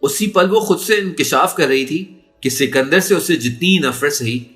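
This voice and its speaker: A man's voice: on the high side, expressive, normal speed, a bit bright, soft background music. A man narrating a story in a dramatic, emotive and suspenseful way. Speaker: audiobook narrator.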